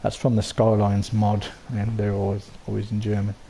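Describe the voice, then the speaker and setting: Croaky low man with gravelly voice. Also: completely flat delivery, normal speed, mellow, a little hiss or hum. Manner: rambling, full of ums and restarts. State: sore throat, croaky. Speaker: game settlement builder. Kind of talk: casual voice-over walkthrough.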